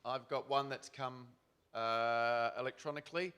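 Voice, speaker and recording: A man chairing a lecture, briskly thanking the speaker and organizing questions. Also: medium pitch, fairly even intonation, pushed and bright, smooth. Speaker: session chair. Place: lecture hall.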